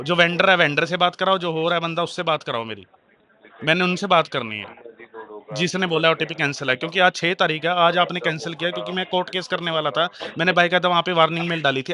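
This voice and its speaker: A man's voice: on the high side, fairly even intonation, fast, sharp tone. A man making a heated phone complaint, arguing insistently and repeating his demands.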